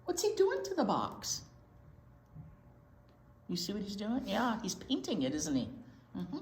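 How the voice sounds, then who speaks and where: Female children's storytime reader, slow and highly expressive, stretches key words, roomy echo. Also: a little hiss or hum. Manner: expressive, drawn-out key words, prompting questions to small children. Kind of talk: animated picture book read-aloud for young children. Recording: roomy, echoey indoor sound.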